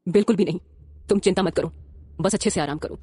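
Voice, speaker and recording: A woman's voice: on the low side, fast talker, mellow, husky. A woman voicing a powerful young man who reassures and gives orders. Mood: commanding. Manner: reassuring, commanding.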